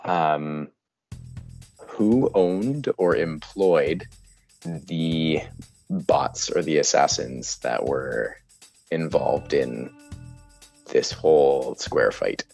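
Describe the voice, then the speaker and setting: Very deep, gravelly man, casual. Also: extremely slow, pauses often, a little mellow, faint music behind. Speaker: player in a tabletop game. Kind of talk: casual game-table chat.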